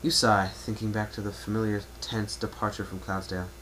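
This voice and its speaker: Casting: male amateur storyteller, lowish voice, scripted narration, faintly noisy home recording. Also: level tone, fairly quick, a bit bright. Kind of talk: dramatic reading of fan fiction, second-person narration.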